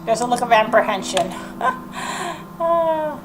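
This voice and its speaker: A woman's voice: on the high side, sing-song, slow pace. Woman gamer reading aloud, noisy background. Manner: reactive, informal asides. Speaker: gaming video host. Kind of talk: let's play commentary and reading aloud game text. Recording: clear background noise and game ambience.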